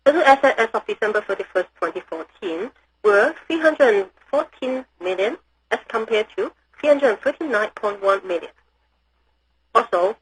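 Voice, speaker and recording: A woman's voice: mid-range voice, husky. A woman reading a scripted financial report in a formal manner, as a company executive on an earnings call. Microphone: phone or conference call line. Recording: noisy conference call line.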